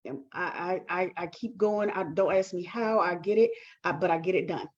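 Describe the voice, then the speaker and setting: A woman giving reflective interview answers as a guest, speaking earnestly and haltingly with repeated words. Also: on the low side, dull audio. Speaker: talk show guest, performing artist.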